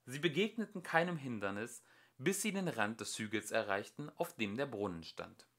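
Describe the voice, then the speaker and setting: A man narrates a storybook tale expressively. His voice sits at a medium pitch, moves with animated intonation and has a bright, forceful edge. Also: moderate pace. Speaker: amateur audiobook reader.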